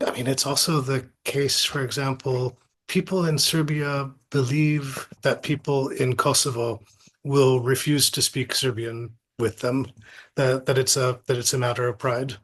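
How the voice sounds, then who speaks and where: A middle-aged man giving reflective, anecdotal interview answers as an academic guest, thoughtful and halting, often repeating words. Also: mid-range voice, fairly even intonation, a bit bright.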